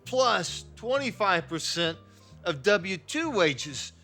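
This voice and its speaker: A man's voice: sits high, very animated, slow, pushed and bright, faint music behind, exaggerated voice. A man playing a hesitant, rambling bar patron in a comic noir drama. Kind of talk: noir parody acting.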